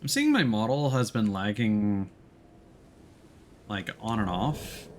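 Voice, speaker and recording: A man giving casual live commentary as he streams a video game, often pausing to think aloud. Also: fairly low pitch, expressive, a little hiss or hum.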